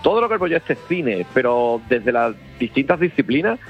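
Man, festival organizer, eager and rambling, muffled radio sound with soft music under it. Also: medium pitch, sing-song, moderate pace. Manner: enthusiastic, rambling with many restarts. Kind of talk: casual radio interview.

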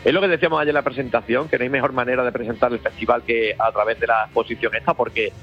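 Man, festival organizer, eager and rambling, muffled radio sound with soft music under it. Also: mid-range voice, steady tone, very fast. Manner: enthusiastic, rambling with many restarts. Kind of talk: casual radio interview.

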